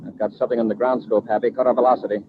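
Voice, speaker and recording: Actor as a brisk space commander, old noisy radio. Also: male voice, mid-range voice, little pitch movement, narrow, tinny audio, close to an everyday voice. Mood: calm, determined. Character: cool, decisive male space patrol commander. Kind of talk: 1950s radio adventure acting.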